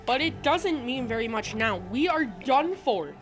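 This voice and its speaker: A teenage girl acts a stern factory director in a youth radio drama. The voice is fairly high, grave and questioning, with music playing behind it. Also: sing-song, unhurried. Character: stern, desperate male factory director and husband. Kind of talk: youth radio theatre acting.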